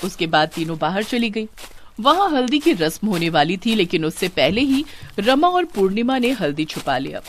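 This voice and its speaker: A woman's voice: medium pitch, very animated, normal speed, slight background noise. A woman narrating a scripted moral story as a storyteller.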